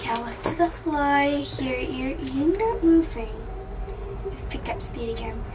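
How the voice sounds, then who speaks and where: A woman's voice: high voice, a little slow. A teenage girl riding a mountain gondola, chatting nervously and telling herself to keep looking at the floor. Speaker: older kid on a family trip. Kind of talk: casual chat. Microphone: camcorder a few feet away. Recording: distant camcorder audio with wind noise.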